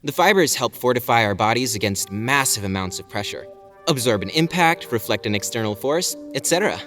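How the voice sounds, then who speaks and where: A man's voice: medium pitch, animated, brisk, fairly bright tone, soft background music, natural voice. A man playing an eager, boyish alien fighter in an audio drama, casual, chatty and playfully cocky. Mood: enthusiastic. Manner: casual, hesitant then enthusiastic.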